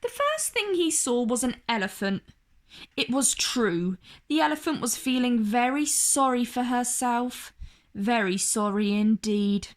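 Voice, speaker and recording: A woman tells a children's story in a fairly high voice. Her pitch rises and falls a great deal. She takes it slowly, stressing words dramatically for young listeners. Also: lively intonation. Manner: addresses young listeners directly, dramatic emphasis and drawn-out words. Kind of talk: expressive picture-book read-aloud for kids.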